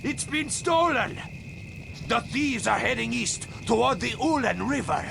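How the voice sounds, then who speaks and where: An actor playing a cold, vengeful military commander in a video game, with a croaky voice. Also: male voice, very high-pitched, lively intonation, unhurried, noisy background, full character voice. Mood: cold, threatening. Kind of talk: video game cutscene acting.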